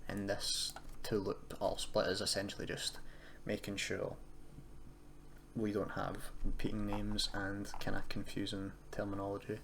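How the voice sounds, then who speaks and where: A young man with a fairly low voice walks through a screen-recorded tutorial. He is informal and hesitant, correcting himself as he explains. There is noticeable noise and keyboard typing behind him. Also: monotone, a little slow, creaky, fairly bright tone. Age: young adult. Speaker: tutorial presenter, university student. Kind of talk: casual screen-recorded coding tutorial, unscripted. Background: computer keyboard typing and noise.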